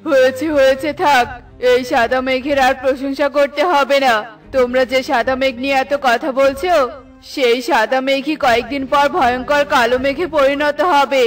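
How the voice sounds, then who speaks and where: A woman's voice: high voice, fairly even intonation, moderate pace, soft background music, lightly acted. A woman voicing a talkative, blunt forest bird in a children's cartoon, with an emphatic delivery. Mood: grumpy.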